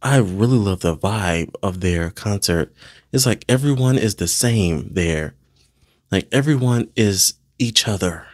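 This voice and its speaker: Deep-voiced man reacting casually, very animated. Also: a little slow, no echo, clean studio sound. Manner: slangy, gushing. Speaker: reaction video host. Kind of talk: casual excited reaction commentary.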